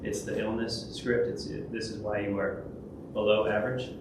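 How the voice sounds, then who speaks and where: A man's voice: lowish voice, little pitch movement, moderate pace. A man giving a conference talk and taking questions, hesitant, with many fillers and restarts. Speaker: conference speaker. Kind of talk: presentation and Q&A. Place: large event hall. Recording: echoey hall from a distance, noisy.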